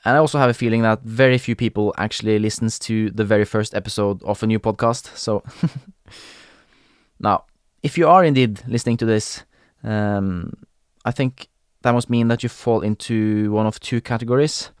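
A young man from Norway hosting a podcast in English with a Norwegian accent, talking casually with frequent pauses and fillers. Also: lowish voice, clean tone, no room sound, clean studio sound. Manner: hesitant, many fillers and restarts, laughs often. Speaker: podcast host. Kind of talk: casual solo podcast talk.

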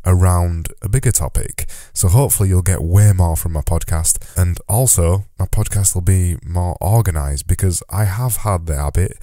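A man's voice: low voice, normal speed, hardly any pauses, dark tone, no echo, clean studio sound. A man hosting his own podcast in a solo monologue, with a slightly nasal voice, talking earnestly and repeating words for emphasis. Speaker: podcast host. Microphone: close podcast mic.

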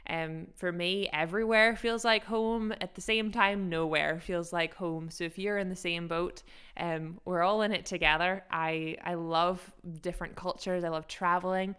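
A woman's voice: on the low side, steady tone, normal speed, a bit bright, smooth. A young adult woman with a mixed American, Scottish and Northern Irish accent, co-hosting a casual podcast chat in a chatty, self-deprecating way. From Japan-born, raised in America. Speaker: podcast co-host.

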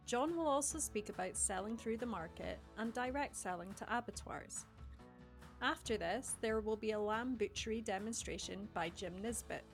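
A woman's voice: a little high, normal speed, faint music behind. A woman hosting a podcast, reading out scripted announcements and introductions. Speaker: podcast host.

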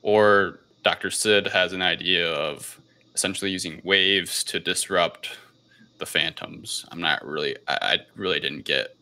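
Gravelly, very low-voiced man chatting. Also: measured pace, bright, forceful tone. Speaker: podcast host recapping a film. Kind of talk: casual film review chat.

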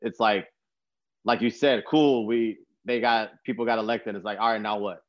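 A man's voice: on the low side, steady tone, moderate pace, no room sound. A young American man from Texas hosting a podcast, talking casually in a rambling, slangy way, with a slightly muffled sound. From Texas, USA. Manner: informal slangy speech, rambling. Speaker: podcast host. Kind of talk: casual conversational talk.

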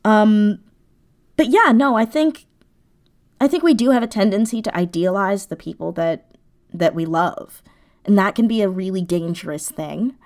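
A woman's voice: medium pitch, normal speed, a little mellow. A woman speaking as an interview guest, explaining her creative thinking in a casual, thoughtful way, searching for words as she goes. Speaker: guest, audio drama writer.

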